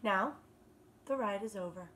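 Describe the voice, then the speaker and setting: A woman in her thirties, a kindergarten teacher, reading a picture book aloud to children in an animated, expressive way. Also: medium pitch, breathy edge. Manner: animated, expressive, drawn-out emphasis on words. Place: small living room by a fireplace, indoors.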